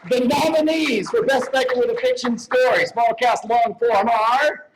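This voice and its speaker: A man's voice: very high-pitched, steady tone, moderate pace, slight background noise, rough recording. A man doing joking, teasing showman patter as a magician and presenter at a live event. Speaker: stage magician co-presenting an awards show. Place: live event stage before an audience.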